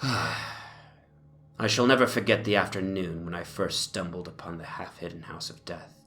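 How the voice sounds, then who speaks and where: A man's voice: deep voice, steady tone, unhurried, bright, forceful tone, voice pushed down, exaggerated voice. A man voicing a haunted, reclusive dreamer in a dramatic gothic horror reading, speaking in a solemn, formal, brooding way. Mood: haunted, reflective. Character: Jervas Dudley, haunted, reclusive dreamer recounting his obsession. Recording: narration over a music soundscape.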